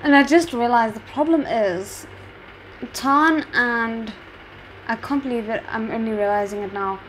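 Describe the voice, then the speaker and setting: Female reaction host, higher-pitched voice, off-the-cuff commentary on a show, noticeably noisy recording. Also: measured pace. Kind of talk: spontaneous reaction commentary on a drama series. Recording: clearly noisy background.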